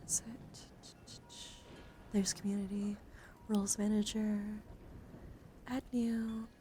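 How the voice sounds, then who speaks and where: Woman, mid-pitched soft voice, breathy whispers, casual ASMR livestream chat, clear background noise. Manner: chatty, informal, addresses viewers directly. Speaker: livestreamer hosting a card deck stream.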